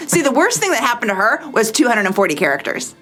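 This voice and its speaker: Theatrical, high-pitched, animated woman podcaster. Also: fast, faint music behind. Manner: theatrical, emphatic, sardonic. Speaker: podcast co-host. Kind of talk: mock-dramatic reading of tweets, mixed with casual chat.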